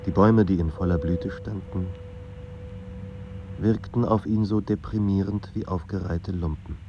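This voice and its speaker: A man narrating a melancholy literary radio drama in a measured, sombre manner. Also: deep voice, lo-fi sound, background noise.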